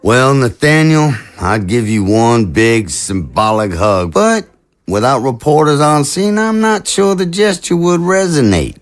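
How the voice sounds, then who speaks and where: An actor playing an affable, chatty president in an audio drama, with a genial, hesitant, chuckling manner. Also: male voice, medium pitch, sing-song, a little slow. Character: affable, chatty politician president on a state visit.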